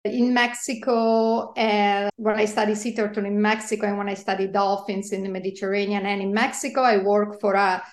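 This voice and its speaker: A woman with an Italian accent talks about her work in a conversational interview, pausing often with filler sounds. Her voice carries a noticeable roomy echo. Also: medium pitch. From Italy. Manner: halting with many fillers, laughs softly. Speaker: guest, marine biologist.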